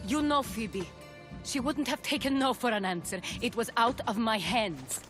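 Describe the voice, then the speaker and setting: An actress playing a composed Athenian woman who answers blame calmly and firmly. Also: fairly high pitch, moderate pace, faint music behind, natural voice. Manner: calm, firm, defensive.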